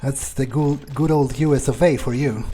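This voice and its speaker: A man doing an improvised in-character gameplay monologue, thinking aloud in a halting, musing way. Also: mid-range voice, steady tone, warm tone, poor audio. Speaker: gamer playing in character.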